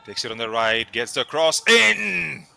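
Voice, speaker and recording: Indian-accented football co-commentator, very bright voice. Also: male voice, medium pitch, big pitch swings, measured pace, gravelly.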